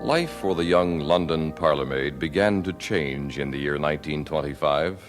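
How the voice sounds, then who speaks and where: A man narrating a scripted radio drama in a measured, formal storytelling manner. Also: extremely low pitch, over music. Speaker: narrator and announcer.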